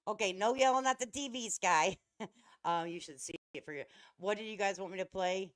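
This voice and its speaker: A woman's voice: mid-range voice, moderate pace. A woman hosting a casual reaction livestream, commenting in a folksy, sarcastic, mocking way. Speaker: livestream host.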